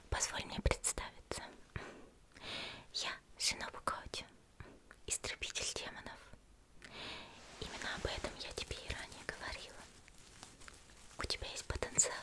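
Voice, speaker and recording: A woman whispering in an ASMR roleplay as a gentle, composed young female demon slayer healer, slow, soothing and politely teasing, close to the microphone. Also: lightly acted.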